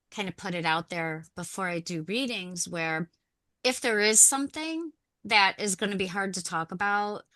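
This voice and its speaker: A woman's voice: on the low side, pushed and bright, dry recording. A woman co-host and tarot reader chatting casually on a podcast, telling stories and acting out the people in them. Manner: chatty storyteller, acts out quoted people.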